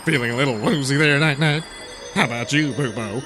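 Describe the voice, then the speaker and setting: A man's voice: mid-range voice, a little fast, pushed and bright, faint music behind, lightly acted. A man playing a sly, taunting supervillain hypnotist, mocking and theatrical, in a comedic radio serial.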